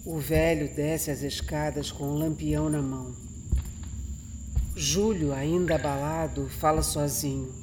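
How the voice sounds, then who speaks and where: A man with a Brazilian accent narrating a scripted horror radio drama in a dramatic, deliberate storytelling manner. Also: a little high, steady tone, background noise. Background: music and night insects.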